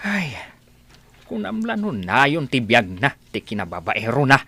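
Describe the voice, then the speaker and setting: An actor playing an older, protective village father and grandfather in a radio drama, fretting in a husky voice. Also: medium pitch, huge pitch swings, unhurried, sharp tone, exaggerated voice. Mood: concerned. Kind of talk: Ilocano radio drama acting.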